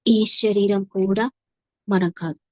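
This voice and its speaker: A woman leading a guided meditation as a teacher, soothing and unhurried, with long pauses and repeated phrases. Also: medium pitch, steady tone, no room sound. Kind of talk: guided meditation, slow instructive narration.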